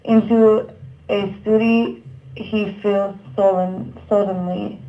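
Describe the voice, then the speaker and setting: A woman narrating a puppet show, reciting old verse in a measured, declamatory manner. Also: mid-range voice, fairly even intonation, lo-fi sound.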